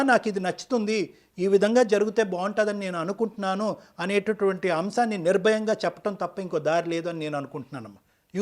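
A man counseling in a firm, didactic way as an advice show counselor, dropping English phrases into his speech. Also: sits high. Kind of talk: advice-giving counseling talk.

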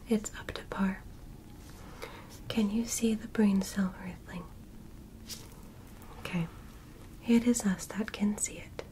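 A young woman voicing a gentle, formal alien medical examiner in an ASMR roleplay, in soft breathy whispers with measured, reassuring phrases. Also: medium pitch, noisy background, close to an everyday voice. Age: young adult. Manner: slow, deliberate, short measured phrases, reassuring. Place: small bedroom dressed as spaceship, indoors. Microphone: close microphone in front.

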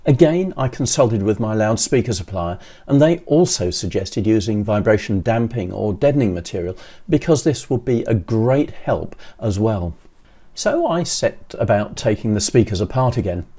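An older man in his sixties giving scripted how-to narration in a measured, methodical way, as a presenter. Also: on the low side, expressive, dark tone, breathy edge. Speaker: presenter of an electronics how-to video.

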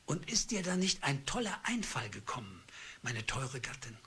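A bitter husband in an old radio drama, laying out his accusations coldly and deliberately. His voice sounds very husky and rough. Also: medium pitch, sing-song, a bit bright, full character voice. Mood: coldly accusing. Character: bitter middle-aged husband turned cold avenger. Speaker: husband Charles. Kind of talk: radio play acting.

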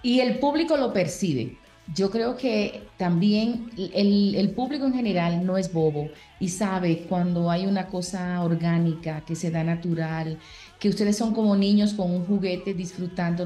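A woman's voice: mid-range voice, normal speed, mellow, soft background music. A middle-aged woman from the Dominican Republic hosting an interview over a video call, speaking with effusive praise. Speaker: interviewer host. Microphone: webcam on video call.

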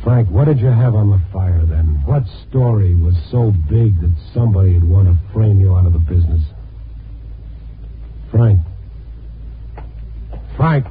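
Hard-boiled reporter on old radio. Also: male voice, low-pitched, unhurried, long pauses, phone-quality sound, slight background noise. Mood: urgent, gentle. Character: dogged, world-weary male newspaper reporter narrating his case. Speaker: lead and narrator. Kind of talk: 1950s radio acting, hard-boiled narration. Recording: band-limited vintage radio recording.

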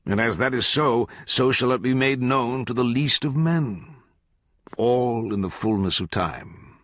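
A man voicing an eloquent, menacing judge in an audiobook, coaxing and grandiose, with deliberate, archaic phrasing. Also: mid-range voice, dry recording, clean studio sound, pitched above the performer's own voice, close to an everyday voice. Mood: solemn accusing. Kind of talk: literary audiobook character voice.

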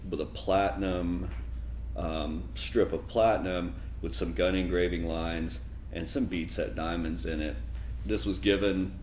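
A very deep-voiced man in his forties, originally from Texas, speaks in a relaxed, reflective way, as if telling his own story in an interview. He sits in a dim room, and his voice carries a noticeable roomy echo with a faint hiss of background noise beneath it. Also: a little slow. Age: 40s. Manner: relaxed, reflective storytelling with occasional soft laughs. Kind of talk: sit-down interview.

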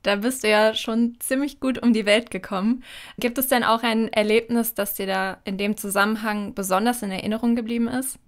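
Woman, podcast host, friendly informal questions, a bit high-pitched. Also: fairly even intonation, a little fast. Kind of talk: interview questions.

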